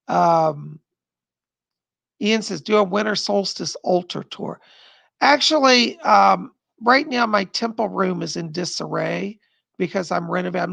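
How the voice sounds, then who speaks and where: A man's voice: high voice, vocal fry, fairly bright tone, slightly rough, no room sound. A middle-aged psychic host with a strained, tired voice, chatting casually from home as he reads out viewer comments, rambling and laughing often. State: strained, losing his voice. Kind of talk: casual live-stream chat reading viewer comments.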